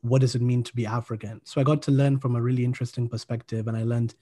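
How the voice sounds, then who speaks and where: A man answering as an interview guest, explaining things in a measured, conversational way. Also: mid-range voice, level tone, warm tone. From Kenya.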